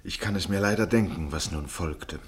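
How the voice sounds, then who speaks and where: An actor playing a sober, sympathetic listener in a radio play, asking questions in a measured, understated way. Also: male voice, low voice, breathy edge. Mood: grave, attentive. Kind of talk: quiet dialogue in a radio play.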